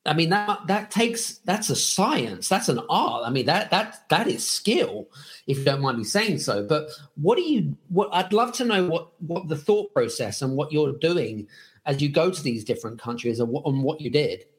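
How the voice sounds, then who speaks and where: A man's voice: on the high side, sing-song, normal speed. A man hosting a podcast, asking long, searching questions. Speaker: podcast host. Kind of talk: interview questions.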